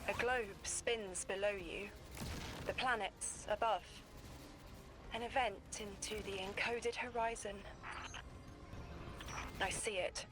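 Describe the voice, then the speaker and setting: An actress playing a cryptic female seer who speaks in slow, trance-like visions. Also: mid-range voice, fairly even intonation, close to an everyday voice, rough recording.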